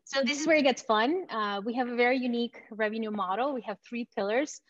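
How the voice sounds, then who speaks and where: A woman from Venezuela presenting her company in an enthusiastic, confident startup pitch. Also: fast, no room sound, muffled recording. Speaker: company founder pitching to investors.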